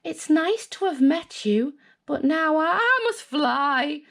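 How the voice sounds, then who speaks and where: A woman voicing a mean, greedy storybook dragon in a drawn-out way. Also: sits high, lively intonation, vocal fry, a bit bright, pitched above the performer's own voice, heavily acted. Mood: frightened, spluttering. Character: mean, greedy dragon licking his lips. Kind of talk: storybook character voice.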